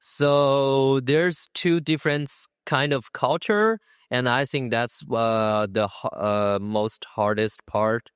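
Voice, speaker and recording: Teen boy, slow and searching. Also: medium pitch, clear, resonant tone, no echo, studio quality. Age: teens. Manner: slow, searching for words, laughs at himself. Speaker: international student. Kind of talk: student panel answers.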